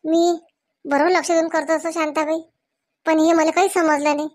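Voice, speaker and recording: A woman's voice: extremely high pitch, level tone, normal speed, no echo. A woman voicing a fretful, strict village mother in a comedy cartoon, admonishing her child. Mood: worried. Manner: fretting, admonishing.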